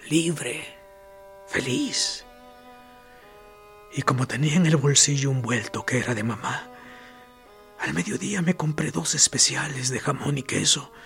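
Man playing a wistful boy, slow and bright-voiced. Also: medium pitch, creaky. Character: wistful boy narrator recalling childhood longings. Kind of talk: dramatic monologue in a radio play. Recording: music bed under the voice.

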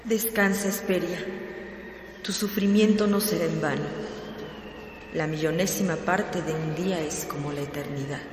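A ghostly voice repeats a mourner's words from far away, as an actor gives it. It is mid-pitched and drawn out, swimming in a roomy reverb. A light noise floor lies beneath it. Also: normal speed. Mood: eerie. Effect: reverb echo.

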